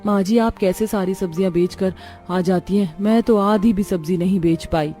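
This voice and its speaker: A dutiful elder daughter-in-law in a village tale, voiced by a woman. She is kind and considerate in a mellow voice. Soft music runs behind her. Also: mid-range voice, normal speed, close to an everyday voice. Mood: polite, puzzled. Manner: polite, considerate.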